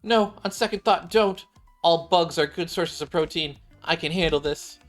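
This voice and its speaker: Plucky young android girl survivalist played by a man, raised pitch, earnest and determined game heroine over background music. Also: moderate pace, natural voice. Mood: squeamish. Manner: eager, determined, exclamatory.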